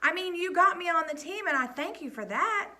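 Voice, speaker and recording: A woman's voice: extremely high pitch, expressive, fairly quick, sharp tone, pitched above the performer's own voice, full character voice. A woman reading aloud, voicing a desperate teenage boy who pleads with a breaking voice, ashamed and defiant at once. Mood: angry.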